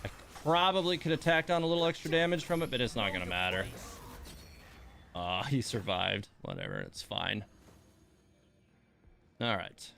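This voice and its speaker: A man's voice: mid-range voice, big pitch swings, moderate pace, vocal fry, pauses often, fairly bright tone. A man streaming a game, giving casual live commentary with muttered, mildly exasperated asides to himself.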